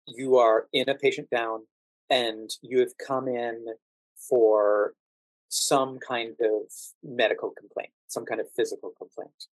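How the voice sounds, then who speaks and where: American man, measured guest, very dry recording. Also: medium pitch, steady tone, no echo, rough recording. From United States. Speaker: podcast guest, actor working as a standardized patient. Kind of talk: thoughtful interview conversation.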